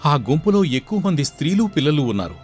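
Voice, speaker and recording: An earnest, humble young prince. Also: male voice, on the high side, sing-song, quick pace, darkish tone, faint music behind. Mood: earnest recounting. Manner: respectful, formal storytelling. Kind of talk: dramatised Telugu folk tale.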